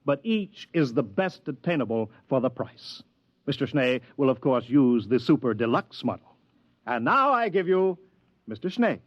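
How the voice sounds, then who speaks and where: Radio-play casting: male actor as fussy older American adviser, unctuous and pompous, very animated pitch, dull vintage sound. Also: normal speed, lightly acted. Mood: grand announcing. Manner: flustered stammering, wheedling, pompous. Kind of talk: 1950s radio drama acting.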